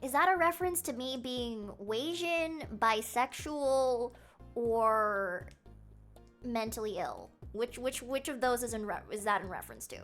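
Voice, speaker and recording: Chatty high-voiced woman streamer. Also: expressive, fairly bright tone, faint music behind. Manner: chatty and rambling, quick laughs. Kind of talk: casual live-stream chat, reading chat questions aloud.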